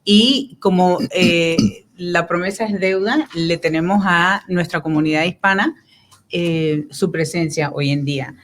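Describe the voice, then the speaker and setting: A woman's voice: on the low side, measured pace, slight background noise. A woman hosting a faith radio program, interviewing a guest and leading a heartfelt prayer. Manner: warm, devout, leads a prayer. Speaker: radio host. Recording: radio studio.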